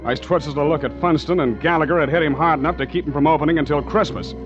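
A man's voice: fairly high pitch, quick pace, lightly acted. An American actor playing a tough, wry sea captain who narrates his own adventures in a clipped 1940s radio adventure serial. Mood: wry narrating. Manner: clipped, wry, hardboiled delivery. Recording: muffled 1940s radio recording with music.

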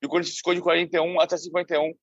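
A man with a high, gravelly voice tells football history in quick runs of dates and names. The sound is dry and a little dull, without room echo. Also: level tone. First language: Portuguese. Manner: knowledgeable, rattles off dates and facts quickly. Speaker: sports journalist panelist. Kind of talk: podcast discussion, storytelling.

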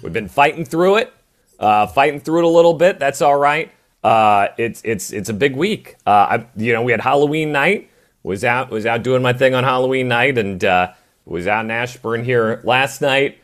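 A man hosting live sports talk radio, fighting a voice that is going, talking in restarts and quick asides. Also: mid-range voice. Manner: animated, restarting phrases. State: losing his voice. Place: radio studio.

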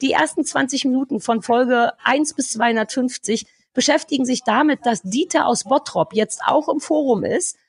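A woman co-hosting a podcast, talking casually in an animated, blunt ranting manner with some swearing. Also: high-pitched, moderate pace, few pauses, slightly rough, no room sound. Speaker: podcast co-host. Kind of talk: casual review chat.

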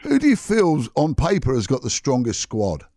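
A man's voice: mid-range voice, huge pitch swings, moderate pace, warm tone, no echo. A man hosting a radio interview, asking long, rambling questions. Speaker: radio host.

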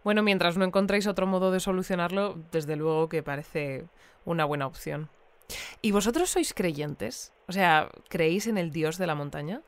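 An actress playing a courteous, composed radio interviewer in an audio drama, with an accent from Spain. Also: female voice, lowish voice, normal speed, dry recording, lightly acted. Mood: polite, composed. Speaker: radio host.

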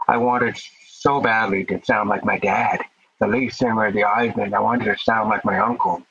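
A man's voice: fairly low pitch, level tone, normal speed, creaky, a bit bright, slightly rough, dull audio. A man with a deaf accent, a podcast guest speaking earnestly about his life, sometimes repeating words as he starts. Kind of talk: casual interview talk.

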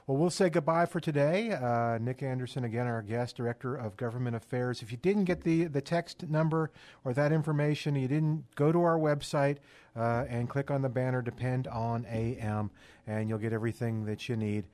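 A man's voice: medium pitch, normal speed, a little mellow, no room sound. A man hosting a radio interview, introducing his guest and addressing listeners. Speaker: radio show host.

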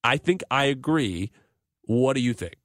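A man hosting a live sports radio show, talking through soccer in a measured, analytical, articulate way. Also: mid-range voice, lively intonation, a bit bright, dry recording, studio quality.

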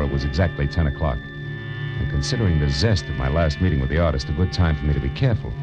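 An actor playing a wisecracking hard-boiled private detective in a 1940s radio drama, clipped, sardonic and slangy. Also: male voice, extremely low pitch. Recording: muffled vintage radio recording, music behind.

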